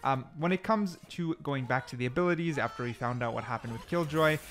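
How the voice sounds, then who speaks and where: A man's voice: mid-range voice, fairly quick, fairly bright tone, faint music behind. A man giving casual, slangy gameplay commentary as a gaming video host, talking viewers through things step by step.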